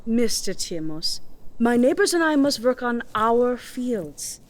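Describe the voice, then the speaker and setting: Defiant high-voiced young farmer. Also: female voice, big pitch swings. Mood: polite defiance. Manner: measured, formal, politely sardonic, uncontracted speech. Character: composed, defiant young village farmer woman. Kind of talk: fantasy audio drama acting.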